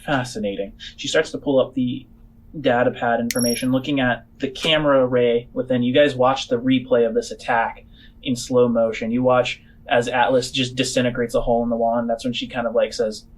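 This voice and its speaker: A man running a tabletop game, improvising descriptive narration in halting, trailing phrases. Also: mid-range voice, level tone. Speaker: game master.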